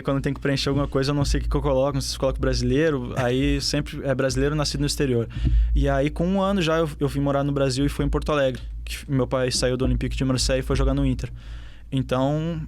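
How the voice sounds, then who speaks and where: A man's voice: medium pitch, darkish tone. A young man with a southern Brazilian accent, a footballer answering interview questions casually, with many fillers and restarts. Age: young adult. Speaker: footballer guest.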